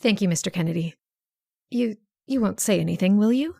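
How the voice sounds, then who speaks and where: An actress plays a sly, genteel schemer in an old-time radio drama. Her creaky voice is dryly recorded, and she confides in a careful, deliberate way as if frail and worried. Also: mid-range voice, lively intonation, dark tone, dry recording, studio quality. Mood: feigned distress. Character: sly, scheming sister feigning frail worry.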